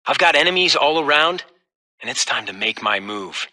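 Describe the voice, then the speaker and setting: A man playing an unhinged, paranoid, vengeful villain with a husky voice, delivering a video game monologue. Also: medium pitch, animated, normal speed, pushed and bright. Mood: scheming.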